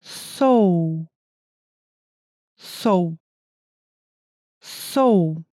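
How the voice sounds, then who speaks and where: Very slow, mellow female pronunciation model. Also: lowish voice, animated, no room sound. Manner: careful, deliberate, repeats each word. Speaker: native-speaker example voice.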